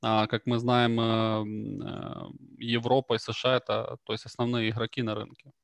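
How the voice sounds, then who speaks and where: A man speaking as a trading guest on a video call, halting and repeating words. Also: mid-range voice, level tone, gravelly, smooth, dry recording. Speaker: independent trader guest. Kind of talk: casual chat.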